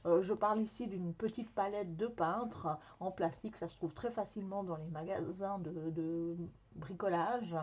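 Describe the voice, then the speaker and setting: A woman's voice: fairly low pitch, moderate pace, a little mellow. A woman hosting a scrapbooking workshop, presenting supplies in a friendly, casual way with many hesitations. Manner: friendly, frequent hesitations with "euh". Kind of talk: casual product demonstration. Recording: slightly dull lo-fi sound.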